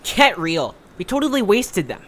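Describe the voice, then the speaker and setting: A woman's voice: mid-range voice, sing-song, moderate pace. A woman playing a cocky, dismissive little creature in an audio story. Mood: scoffing. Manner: scoffing, swaggering.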